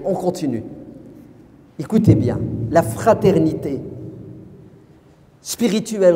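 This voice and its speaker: A high, animated male voice with a mellow tone, preaching to an audience and constantly throwing rhetorical questions back at them. He grew up in France, and his first language is Berber. The sound carries a long reverb from a lecture hall. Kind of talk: lively lecture sermon. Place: lecture hall of an Islamic centre.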